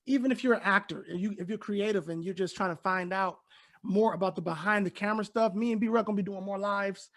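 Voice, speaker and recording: A man hosting a casual live stream, hoarse today, hyping and joking with his guest. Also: sits high, fast talker, fairly bright tone. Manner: hyped, joking, encouraging. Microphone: phone or computer live stream.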